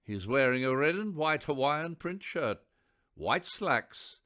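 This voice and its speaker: A man's voice: a little high, expressive, takes it slowly, pushed and bright. A man reading scripted narration for a radio play.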